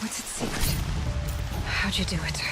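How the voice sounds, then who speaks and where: A woman's voice: mid-range voice, a little slow, vocal fry, whispered, loud music behind the voice. A woman acting a resolute young outcast warrior in a video game cutscene, earnest and determined. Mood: curious, muttering.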